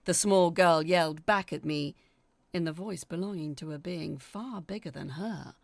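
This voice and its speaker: A woman reading a scripted first-person horror story in a theatrical, expressive way, as its dramatic narrator. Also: on the low side, moderate pace, creaky.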